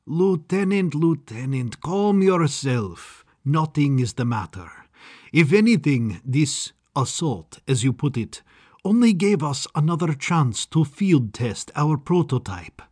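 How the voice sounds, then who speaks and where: A man's voice: a little high, sing-song, slow, darkish tone, exaggerated voice. A man voicing a smug, gleeful and sinister scientist in an audiobook, with a sing-song, condescending, theatrical manner. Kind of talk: audiobook character acting.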